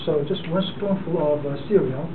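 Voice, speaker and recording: A man in his forties from South Korea, with a Korean accent, giving an unprepared speech to a club in a halting way, full of fillers and restarts. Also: on the high side. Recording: distant, echoey, phone-like and noisy.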